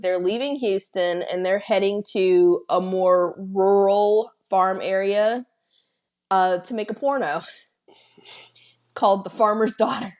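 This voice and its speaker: A woman co-hosting a podcast, chatting casually as she retells a film's plot with excited asides. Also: medium pitch. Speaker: podcast co-host. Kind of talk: casual film-recap chat.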